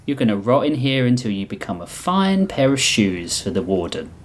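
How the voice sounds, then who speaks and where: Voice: medium pitch, big pitch swings, moderate pace, a little hiss or hum, lightly acted. A man voicing a small, arrogant alien gangster boss with a slangy, needling manner. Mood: taunting.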